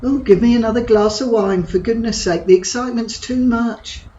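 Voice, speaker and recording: An actress playing a blunt, teasing imaginary best friend in an audio drama. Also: female voice, fairly high pitch, moderate pace, warm tone, recorded from a distance, close to an everyday voice. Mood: sarcastic. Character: sharp-tongued imaginary best friend, mocking. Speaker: actor. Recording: roomy, dull lo-fi, off-mic.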